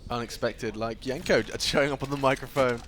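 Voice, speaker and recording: A man works as an esports commentator, calling live play and bantering casually. His pitch is average. There is faint noise of game gunfire and clicks behind him. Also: steady tone, brisk, fairly bright tone.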